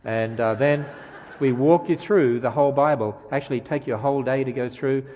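A man with an Australian accent preaches as a guest speaker, mixing light jokes with emphatic rhetorical questions. His voice sits at a middle pitch. It carries through a church with a long, trailing reverb. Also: a little fast, warm tone, highs cut off, a little hiss or hum. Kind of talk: sermon. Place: church sanctuary.

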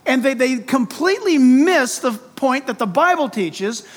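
A man's voice: very high-pitched, big pitch swings, a little slow. A man preaching an expository sermon, speaking emphatically and conversationally, posing rhetorical questions straight to his listeners. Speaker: pastor preaching to congregation.

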